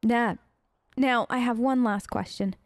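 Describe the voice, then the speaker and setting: Woman let's player, high voice, casual role-play chat, informal, dry close recording. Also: steady tone, a little slow, dry recording. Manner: chatty, informal, rambling replies. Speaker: let's play host role-playing her game character. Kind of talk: casual improvised in-character chat.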